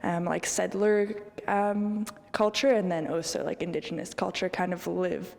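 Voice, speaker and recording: Young woman, lowish pitch, vocal fry, casual reflective interview talk, reverberant space. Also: level tone, moderate pace, a little mellow. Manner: casual, frequent "like" and "kind of". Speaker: artist interviewed. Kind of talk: reflective interview talk about own art.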